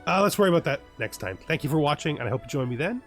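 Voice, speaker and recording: Higher-voiced man doing game commentary. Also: expressive, racing, soft background music. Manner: theatrical, wryly amused, acting out characters. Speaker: host of a gaming let's play. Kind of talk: casual roleplay commentary mixed with reading game text.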